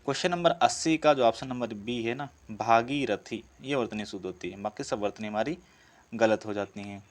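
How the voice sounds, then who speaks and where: A man's voice: mid-range voice, moderate pace. A man teaching through exam practice questions, explaining step by step and repeating key words. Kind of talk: instructional walkthrough of quiz questions.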